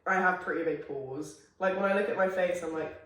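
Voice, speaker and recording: A young woman with a deep voice chats frankly on a podcast, laughing easily. She sits well back from the mic. The room rings with a strong echo. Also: fairly quick, fairly bright tone. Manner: frank, laughs often, chatty. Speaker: podcast co-host. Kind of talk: casual chat. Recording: echoey room, far from the mic.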